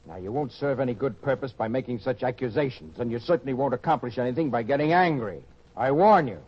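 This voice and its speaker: An actor playing a sly, freeloading gambler, a smug false friend who talks in a condescending, coaxing way in old-time radio drama. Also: male voice, mid-range voice, fast, lightly acted. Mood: condescending, mocking. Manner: smooth-talking, condescending, mocking. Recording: dull lo-fi radio-style recording.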